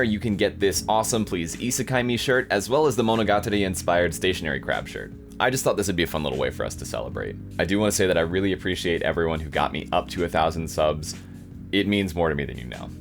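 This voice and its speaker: A young man with a low voice chats casually as he plays through his own game. His pitch moves around a lot, and his tone is wry and self-deprecating. Faint music plays behind him. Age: young adult. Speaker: YouTuber playing own game. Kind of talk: casual playthrough commentary with read-aloud narration.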